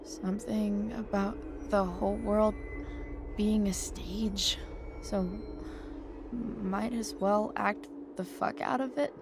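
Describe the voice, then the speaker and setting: An actress playing a guarded, sardonic teenage girl in a naturalistic video game drama, speaking in a dry, halting way. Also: mid-range voice, fairly even intonation, noisy background. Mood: weary, vulnerable.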